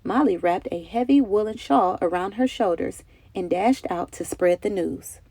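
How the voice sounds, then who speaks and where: A woman narrates a children's storybook with expressive, emphatic phrasing. Her voice sits at a medium pitch with some gravelly fry, recorded close and dry. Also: moderate pace, dry recording. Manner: dramatic, carefully phrased storytelling with emphatic delivery. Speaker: storybook reader.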